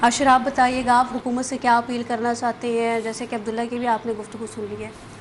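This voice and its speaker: Lady news interviewer, highish flat voice, little bit of noise behind. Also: a little fast. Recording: faint background noise.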